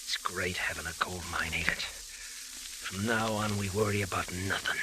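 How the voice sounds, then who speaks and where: An actor in an old radio drama playing a wounded, stubborn, gold-obsessed prospector with rough, slangy, insistent talk. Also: male voice, deep voice, fairly even intonation, moderate pace, close to an everyday voice. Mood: feverish, insistent. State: weak from wounds. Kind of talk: 1940s-50s radio drama acting. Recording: hissy old radio recording.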